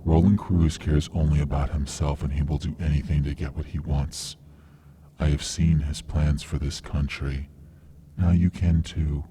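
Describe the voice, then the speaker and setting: A man playing a cold, self-righteous vigilante killer in a radio drama, speaking in a measured, menacing, lecturing way. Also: very deep voice, flat delivery, warm tone, slight background noise. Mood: smug, calculating.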